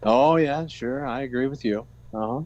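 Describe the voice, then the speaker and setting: A man's voice: medium pitch, normal speed, a little mellow, close to an everyday voice. A man playing a blustery, jovial older boss in 1940s-style radio comedy, hearty and exclamatory, on a slightly muffled recording. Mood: brisk, pleased.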